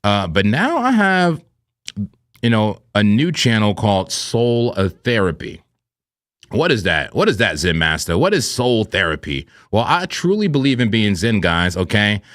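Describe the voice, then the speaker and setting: A man co-hosting a live trading stream, with a smooth radio-style voice, talking in a playful, animated way and often clearing his throat. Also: on the low side, measured pace, no room sound, studio quality. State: throat irritated from vaping. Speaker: co-host of a crypto trading stream. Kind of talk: casual live-stream banter.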